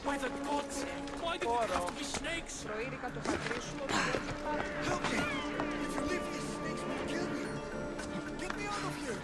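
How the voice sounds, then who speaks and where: An actor playing a theatrical, indignant and easily frightened Athenian politician in a video game. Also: male voice, very high voice. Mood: panicked. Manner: agitated, theatrical, wordy.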